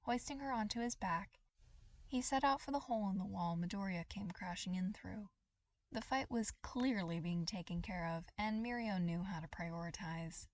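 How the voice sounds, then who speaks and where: A woman's voice: medium pitch, moderate pace, dry recording. Woman narrating fiction in a dry recording. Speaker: podfic reader. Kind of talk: scripted fiction narration, dramatic and expressive.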